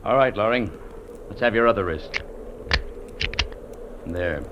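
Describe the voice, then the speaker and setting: An actor playing a stern, unyielding Mountie sergeant, speaking curtly in 1950s radio drama style. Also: male voice, fairly low pitch, expressive, slow. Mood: curt, businesslike. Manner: curt, stern, blunt. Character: hard, unyielding Mountie sergeant, adult man. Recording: dull, noisy old radio recording.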